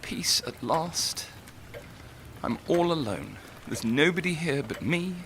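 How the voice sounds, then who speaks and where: A tormented young writer in an audio drama, self-doubting and lovesick. His voice is sharp and forceful, raw with feeling, with slight noise behind it. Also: a little high, animated, slow, creaky, exaggerated voice. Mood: brooding. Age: young adult. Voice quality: intense, emotional delivery.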